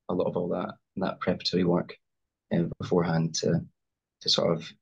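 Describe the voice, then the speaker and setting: A man's voice: deep voice, gravelly, husky. A young Scottish man, an actor guest on a video call, speaking hesitantly with many fillers and restarts. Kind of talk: casual interview over video call. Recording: dull lo-fi video call audio.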